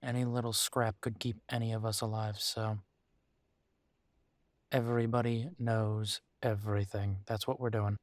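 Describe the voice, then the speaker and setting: A man playing a protective, weary but determined werewolf pack leader in an intimate roleplay audio drama, speaking deliberately with pauses. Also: lowish voice, little pitch movement, dry recording, natural voice. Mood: firm, resolute.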